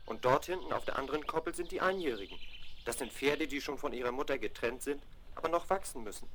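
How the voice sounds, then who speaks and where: A man's voice: medium pitch, expressive, fast, fairly bright tone. A man playing a patient horse breeder who explains things kindly in a 1970s German radio play. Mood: patient, explaining. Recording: noisy 1970s vinyl rip.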